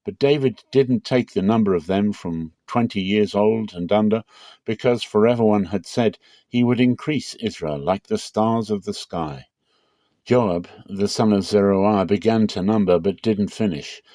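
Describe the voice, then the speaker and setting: A man giving a dramatic scripture reading in a measured way, slowing for emphasis. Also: lowish voice, mellow, no echo, clean studio sound. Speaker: Bible reader.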